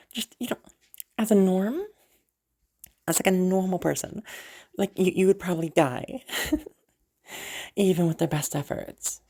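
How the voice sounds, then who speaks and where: A woman's voice: lowish voice, gravelly, warm tone, pitched above the performer's own voice, full character voice. A woman playing a powerful, weary mage in an audio roleplay, rambling casually and boasting to the person she rescued. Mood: tired, casual. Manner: casual, rambling, teasing asides. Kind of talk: improvised-sounding audio roleplay, chatting to the listener.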